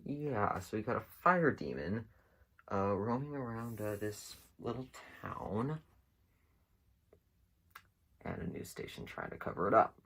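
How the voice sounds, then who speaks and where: A man talks through his commentary in a very low voice with a heavy fry. He hosts casually and without a script, taking his time and often pausing as he rambles from thought to thought. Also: big pitch swings, a bit bright. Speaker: host of an internet mystery series. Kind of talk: casual unscripted video commentary.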